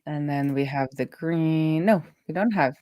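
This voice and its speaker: A woman hosting a casual coding stream as a web developer, talking informally and thinking out loud as she works. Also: low voice, measured pace, dry recording.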